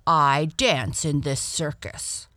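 A woman's voice: sits low, slow pace, sharp tone, dry recording, heavily acted. A woman voicing a big, shaggy, friendly male dancing bear for a storybook, with a hearty, kindly, emphatic manner.

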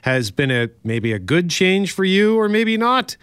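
A man's voice: high voice, big pitch swings, a little slow, background noise. A man co-hosting a morning radio show, chatting casually and reading out the phone number and prizes from his studio mic. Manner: conversational, reads phone numbers and promos. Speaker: radio co-host. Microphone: studio mic, separate room.

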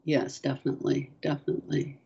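An older woman whose first language is French, answering interview questions softly and hesitantly over a video call. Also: extremely low pitch, flat delivery, a little fast, creaky, slightly rough, muffled recording. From France. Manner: soft-spoken, hesitant, many ums. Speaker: author, guest.

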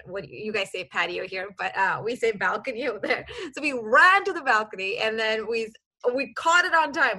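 A woman in her thirties from Kuwait, telling her life story as a podcast guest in a lively, chatty way with laughs and restarts. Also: mid-range voice. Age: 30s. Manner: animated, laughs often, many restarts and fillers. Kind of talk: casual storytelling.

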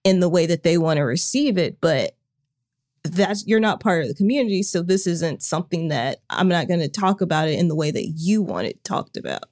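A woman co-hosting a podcast with a low voice. She talks in an even monotone that can sound uninterested, though she isn't. The tone is casual and conversational. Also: brisk. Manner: monotone, flat delivery. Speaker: podcast co-host. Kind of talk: casual chat.

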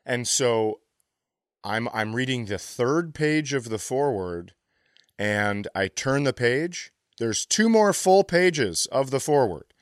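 A man's voice: medium pitch, expressive, slow pace, no room sound, studio quality. An American man hosting a comedy podcast, telling casual stories with a profane, exasperated ranting streak. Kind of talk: casual comedic chat and storytelling.

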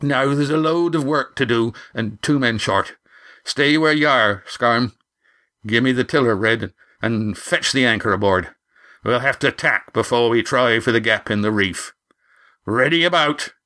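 A man voicing a gruff, commanding sailing skipper in a storybook, giving clipped orders in rustic seafaring speech. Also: mid-range voice, measured pace, sharp tone. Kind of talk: audiobook character acting.

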